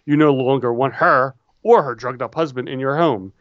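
A man's voice: mid-range voice, moderate pace, no room sound, dull audio. A man co-hosting a casual chat, reading advice letters aloud with easygoing, dry humor. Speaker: podcast co-host.

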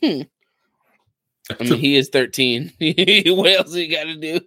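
A man's voice: a little high, sharp tone, no room sound. A man hosting a casual podcast chat, talking in a slangy, jokey way. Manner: slangy, jokey, quick to laugh. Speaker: podcast host.

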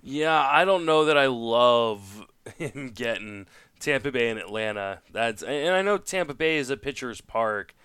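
A man's voice: medium pitch, animated, measured pace, a bit bright, smooth, no echo. A man hosting a podcast, talking casually and thinking aloud about sports, a native French speaker. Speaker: podcast host. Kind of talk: casual sports talk, fantasy baseball discussion.

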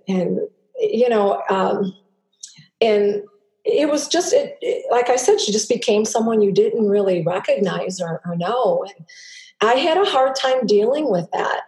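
A middle-aged woman, a native Greek speaker, telling her personal story as a podcast guest in an earnest, halting way with many restarts. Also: mid-range voice, darkish tone. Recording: roomy echo.

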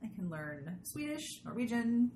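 A woman's voice: fairly high pitch, sing-song, unhurried, dark tone. A woman hosting a podcast, chatting casually in an effusive, exclamatory way and swearing freely. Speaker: podcast host and interviewer. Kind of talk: casual interview chat. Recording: echoey room with background noise.

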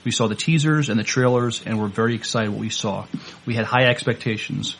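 Man, podcast host, fast talker, creaky gravelly voice, medium pitch, courteous formal interviewing. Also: steady tone, a bit bright, low-quality recording.

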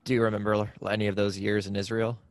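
A man's voice: on the low side, level tone, quick pace, no room sound, clean studio sound. A man interviewing someone in a casual, conversational style.

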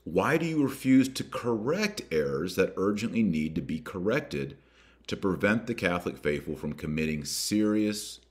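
A man hosting a religious commentary podcast, reading aloud and commenting in an emphatic, deliberate way with rhetorical questions. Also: deep voice, lively intonation. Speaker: podcast host.

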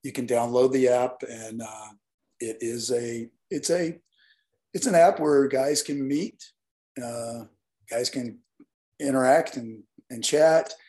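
A hoarse man from Northeast Alabama, a guest talking earnestly and at length in an interview. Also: medium pitch, slow pace, warm tone. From Northeast Alabama, US South. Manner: earnest, rambling explanations. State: hoarse, partly lost voice. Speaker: guest, nightlife event promoter. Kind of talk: casual interview talk.

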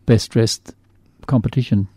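A man's voice: medium pitch, fairly even intonation, measured pace, warm tone, husky, dry recording. An older Australian man chatting casually on community radio, rambling and tripping over his words. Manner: rambling, stumbles and repeats words. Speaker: radio show regular.

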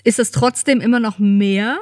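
A woman's voice: on the high side, steady tone, normal speed, a little mellow, no room sound. A woman co-hosting a podcast, chatting in a casual, informal way into a close microphone. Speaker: podcast co-host. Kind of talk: casual chat.

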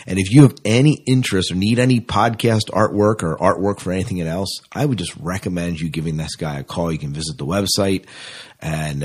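A man with a deep, somewhat raspy voice co-hosts a fan podcast. He talks in a loose, chatty way, drifting into asides as he addresses the listeners. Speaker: podcast co-host. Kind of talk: casual sci-fi fan podcast chat.

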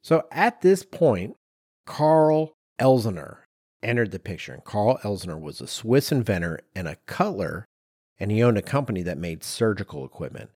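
Low-voiced man, dry close podcast sound. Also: big pitch swings, moderate pace, darkish tone, breathy edge, dry recording. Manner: informal, explanatory with personal asides. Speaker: podcast co-host. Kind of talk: conversational storytelling from research.

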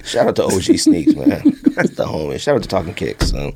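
A man hosting a podcast, doing a casual, slangy ad read with off-the-cuff hype and repeated pitches to the listener. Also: huge pitch swings, fairly quick, creaky, a little mellow, a little hiss or hum. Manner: slangy, often addressing the listener as B or fam. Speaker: podcast host.

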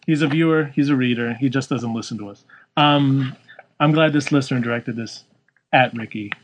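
A man's voice: medium pitch, normal speed, rough recording. A man co-hosting a casual chat, joking, laughing and rambling. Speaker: podcast co-host.

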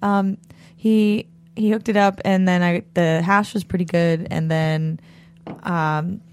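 A woman co-hosting a podcast, telling stories in a laid-back way with a slightly raspy voice and frequent laughter. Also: on the low side, steady tone, slow, darkish tone. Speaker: podcast co-host. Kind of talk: casual chat.

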